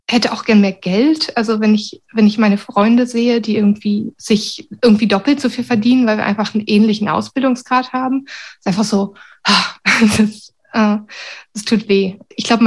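A woman's voice: mid-range voice, fairly even intonation, normal speed, darkish tone. A middle-aged woman from Berlin talking candidly and reflectively about personal experience in an interview. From Berlin, Germany. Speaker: interviewee, university secretary with a doctorate.

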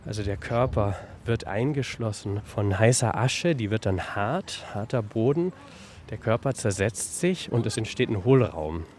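A man's voice: lowish voice, expressive, unhurried, darkish tone. A man speaking conversationally in an on-site interview as the director of an archaeological park. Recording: faint background noise on location. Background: faint ambient noise.

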